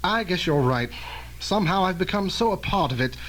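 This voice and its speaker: Man playing cautious older scientist, high animated voice. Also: low-quality recording. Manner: measured, admonishing, formal. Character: earnest, cautious older male scientist, protective mentor. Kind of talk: old-fashioned radio drama acting.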